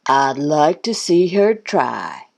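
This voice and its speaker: A woman's voice: sits low, expressive, slow pace, a little mellow, heavily acted. A woman voicing a reluctant, glum goose in a children's storybook, mumbling and groaning the lines. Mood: reluctant, put upon.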